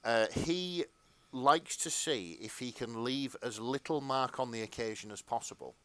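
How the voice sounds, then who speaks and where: A man's voice: medium pitch, measured pace, sharp tone, smooth. A man co-hosting a podcast, chatting casually in a rambling, hesitant way. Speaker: podcast co-host. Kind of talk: casual chat, anecdotes.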